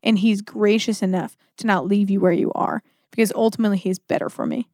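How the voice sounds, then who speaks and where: A woman's voice: mid-range voice, brisk, warm tone, no room sound. Earnest woman in dry, close conversation. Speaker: podcast co-host. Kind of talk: conversational religious discussion.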